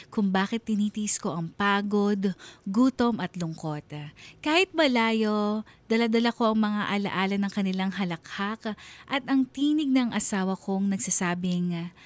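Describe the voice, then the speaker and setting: Radio drama narrator: actress as a homesick working mother, mid-pitched, earnest and sentimental, telling her story. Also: lightly acted. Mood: sorrowful longing. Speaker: narrator reading a listener's letter in the first person.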